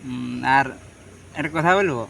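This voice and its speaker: A man's voice: medium pitch, unhurried, creaky, a bit bright, a little hiss or hum. A man chatting casually as a prank-call host. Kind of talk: casual chat to viewers and on a phone prank.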